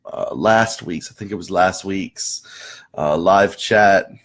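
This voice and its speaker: A young man with a low, hoarse voice hosts a casual live chat for viewers. He rambles, restarts his sentences and fills gaps with ums and you knows. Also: slow pace, fairly bright tone. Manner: rambling, many restarts and fillers. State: hoarse after losing his voice. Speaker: host of a live marketing chat.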